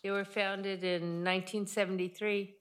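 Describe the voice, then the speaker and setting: Woman, middle pitch, committee member relaying details from a document. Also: level tone, normal speed, a bit bright. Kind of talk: casual meeting discussion.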